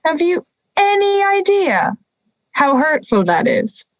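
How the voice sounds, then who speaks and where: A woman's voice: very high-pitched, very animated, slow pace, no room sound, lo-fi sound, faint music behind, full character voice. A young woman playing a touchy, wry, harmless talking wolf in an audio drama, indignant and teasing.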